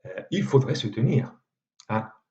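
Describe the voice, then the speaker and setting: A man with an Italian accent, a native Italian speaker giving a measured university lecture with frequent hesitations. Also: mid-range voice, animated, darkish tone, dull audio. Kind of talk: recorded lecture.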